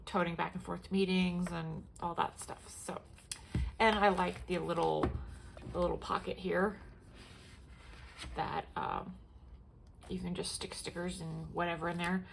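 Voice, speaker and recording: A young woman talks through her planners in a loose, rambling way, full of fillers and false starts. Her nose is stuffed up and she sniffles now and then. Her voice has a gravelly edge of vocal fry over a faint background hiss. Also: lowish voice, steady tone, unhurried, rough recording. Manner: rambling, many fillers and restarts. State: congested, sniffling. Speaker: planner vlogger. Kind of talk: casual show-and-tell chat.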